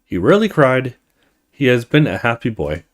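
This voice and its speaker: A man reading a horror visual novel aloud as a let's play host, with expressive storytelling and casual asides. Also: mid-range voice, normal speed.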